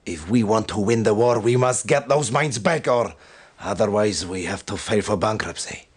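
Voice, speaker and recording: An actor playing a stern, devout military commander who gives solemn, formal and deliberate briefings in a video game. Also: male voice, on the low side, breathy edge. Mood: firm, urgent.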